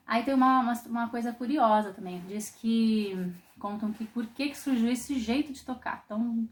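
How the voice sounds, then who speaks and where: A woman from Brazil teaching a popular singing class, explaining in a thoughtful way with frequent pauses and restarts. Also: a little high. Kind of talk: online music lesson.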